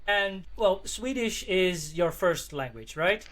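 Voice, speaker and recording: A male interviewer with a slightly raised pitch leads a casual conversation. He mostly gives short agreeing replies and brief follow-up questions. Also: lively intonation, takes it slowly, a bit bright. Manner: brief affirming responses.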